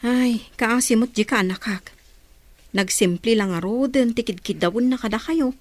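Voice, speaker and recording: A woman's voice: on the high side, moderate pace, no echo, natural voice. An actress playing a sympathetic, concerned older village woman in an Ilocano radio drama, with an Ilocano accent.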